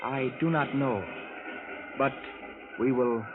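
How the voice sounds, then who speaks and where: Man playing mysterious future visitor, measured formal delivery, vintage radio drama, thin band-limited sound, faint music. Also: medium pitch, narrow, tinny audio, lightly acted. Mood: cryptic, solemn. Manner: measured, formal, avoids contractions. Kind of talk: 1940s radio acting.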